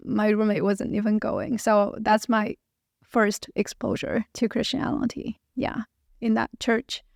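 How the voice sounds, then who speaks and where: A Chinese-accented woman in her thirties speaks as an interview guest, with a slightly high voice carrying a gravelly fry. She talks hesitantly with many fillers and light laughs. The sound is dry and close. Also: no room sound. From China. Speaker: guest, translator. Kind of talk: casual interview conversation.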